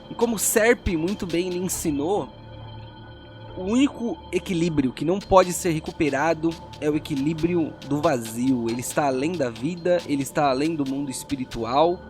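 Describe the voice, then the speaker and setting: A man narrating a tabletop fantasy story as game master, in a grave, deliberate storytelling manner. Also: a little high, darkish tone. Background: faint music.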